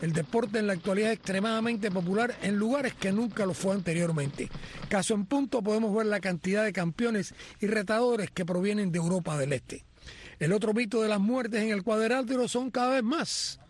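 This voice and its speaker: A man's voice: high voice, brisk. A man co-hosts a sports show, moving between panel talk and recap. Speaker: sports show co-host covering boxing.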